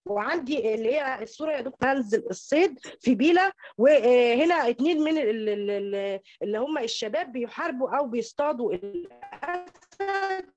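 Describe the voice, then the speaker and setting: A woman with an Egyptian Arabic accent giving an academic slide lecture over an online call, halting and repeating words as she goes. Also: sits high, lively intonation, a little mellow, no echo, faint music behind. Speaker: academic presenter. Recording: dull lo-fi online call audio.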